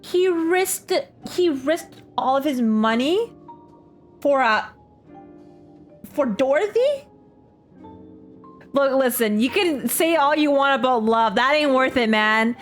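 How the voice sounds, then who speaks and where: Young woman, game streamer, slightly high voice, casual reactions, slangy, exclamatory, repetitive phrasing, faint music. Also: lively intonation, slow, pauses often. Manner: slangy, exclamatory, repeats herself. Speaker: streamer playing a story game.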